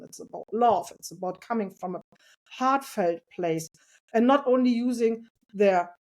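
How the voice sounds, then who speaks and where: A woman talking as a podcast guest about parenting, earnest and reflective, with a loud voice and light laughs. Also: medium pitch. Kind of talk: casual interview conversation.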